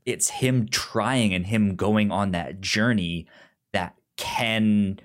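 A man in a casual film discussion, talking haltingly and stammering over repeated words and syllables. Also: lowish voice. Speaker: film podcast host.

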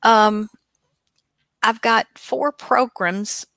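A woman's voice: fairly high pitch, very slow, bright, forceful tone, no room sound. A woman co-hosting a show with casual, rambling, folksy and teasing unscripted chat. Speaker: co-host of an old-time radio online show.

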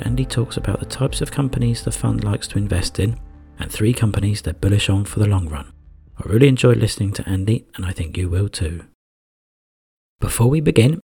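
Male podcast host, low and gravelly, reads the script, soft music under it. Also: dry recording, studio quality. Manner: reads a prepared introduction.

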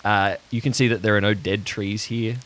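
A man acting as game master, improvising descriptive scenes in a halting way, addressed to the players. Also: lowish voice, fairly even intonation, fairly bright tone.

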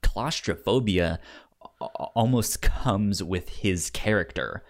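A man casually discussing a movie as a podcast host, with halting speech and stuttered, repeated sounds and words. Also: low-pitched.

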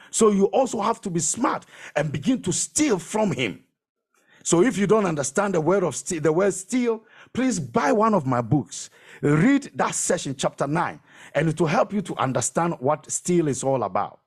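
A man's voice: on the high side, sing-song, moderate pace, breathy edge. A man preaching a sermon as a preacher, emphatic and exhortatory, repeating phrases to drive them home.

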